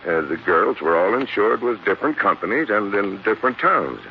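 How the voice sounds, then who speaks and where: Deep-voiced, earnest investigator. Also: male voice, animated, brisk. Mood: grave, earnest. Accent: American. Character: earnest male investigator laying out suspicious case. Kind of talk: 1940s American radio drama acting. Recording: dull, hissy 1940s radio recording.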